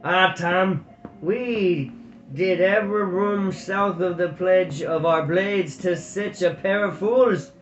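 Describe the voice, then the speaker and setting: A man voicing a short, stocky male squirrel warrior with a Scottish Highland accent, loud and jeering. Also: slow pace, a little hiss or hum. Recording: echoey room, dull lo-fi sound.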